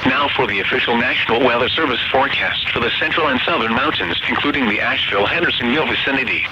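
Synthetic American male announcer on noisy radio. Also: mid-range voice. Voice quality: synthetic text-to-speech voice. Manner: formal, measured, impersonal recitation. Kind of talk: automated scripted weather bulletin reading. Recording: dull, noisy weather radio broadcast.

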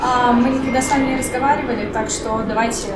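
Woman translating, lots of uh's, echoey hall w loud background. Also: fairly high pitch, fairly even intonation, fast, heavy background noise. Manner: hesitant, searching for words with many fillers. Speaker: interpreter. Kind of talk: live interpreting.

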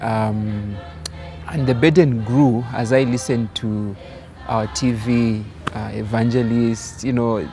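A man's voice: mid-range voice, steady tone, takes it slowly, music in the background. A man answering interview questions outdoors, hesitant and searching for words. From Zambia. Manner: hesitant, searching, many fillers. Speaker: theology student. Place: outdoors by a wall.

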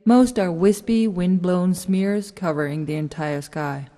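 A woman's voice: lowish voice, a little slow, warm tone. A woman narrating a script, reading out stage directions as the narrator. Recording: long hall-like reverb.

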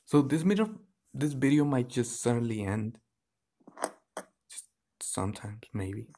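A vlog host with noticeable creak in a mid-pitched voice. The delivery is casual chat, addressed straight to the viewers. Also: expressive, measured pace, dark tone. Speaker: podcast host.

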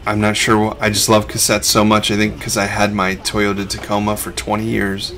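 Middle-aged guy with a gravelly low voice, chill vlog voice-over, just chatting along. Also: completely flat delivery, fairly quick, a bit bright. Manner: laid-back, conversational, explains as he goes. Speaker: reseller vlog host. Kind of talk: casual voice-over narration.

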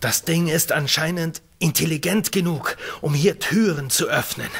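An actor playing a level-headed, protective doctor in an audio thriller, earnest and commanding. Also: male voice, fairly high pitch, moderate pace, gravelly, fairly bright tone, husky, full character voice. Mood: firm, tense. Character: level-headed male doctor, protective leader on polar station.

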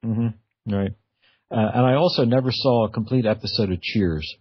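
Guy hosting casual radio interview, bit halting, husky voice, dry muffled audio. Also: lowish voice, dry recording. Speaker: radio show host.

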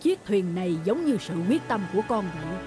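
A calm middle-aged fisherman father from a cartoon folk tale, voiced by a woman. The voice is soft-edged and dark, and he explains things patiently, like a teacher. Light music sits underneath. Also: medium pitch, fairly quick, lightly acted. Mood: wise, instructive. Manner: patient, instructive.

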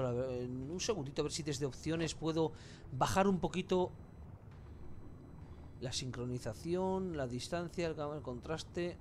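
A man's voice: on the high side, darkish tone, a little hiss or hum. A man with an accent from Spain giving casual, colloquial gameplay commentary with mild swearing. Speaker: gamer, let's play host.

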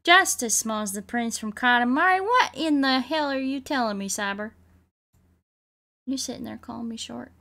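A woman's voice: a little high, lively intonation, normal speed, creaky, bright, forceful tone. A woman livestreamer giving playful game commentary in mock-bossy cowboy slang with a Southern US accent. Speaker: livestreamer playing a video game.

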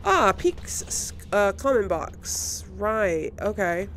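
A woman giving casual let's play commentary on a video game, thinking aloud in an unhurried way. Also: mid-range voice, sing-song, fairly bright tone, a little hiss or hum. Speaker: gamer, series host.

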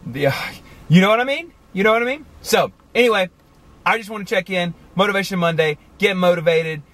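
A man in his forties talking casually to the camera from inside a car, animated and chatty, with many asides. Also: high voice, a little fast, no room sound. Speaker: vlogger. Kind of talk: casual motivational talk to camera. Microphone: camera on the dashboard.

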